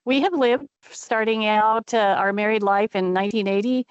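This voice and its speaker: A woman's voice: medium pitch, steady tone, moderate pace, smooth, no echo, lo-fi sound. An older retired woman chatting through introductions as committee chair on a call. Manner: chatty, friendly, with fillers. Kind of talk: meeting introductions.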